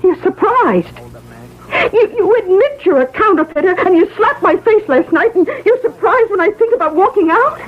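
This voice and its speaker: A wounded wife standing her ground in a radio drama. Her high voice is curt, sarcastic and challenging, on a tinny old broadcast. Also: lively intonation, normal speed. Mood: firm, indignant. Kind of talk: 1970s radio drama acting.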